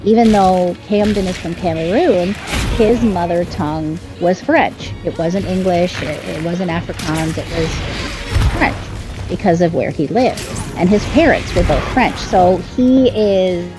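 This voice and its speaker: A woman's voice: on the low side, unhurried, music in the background. A woman, an author talking as a guest on a live stream, explaining earnestly and often restarting her sentences. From Canada. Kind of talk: casual conversation on a live stream.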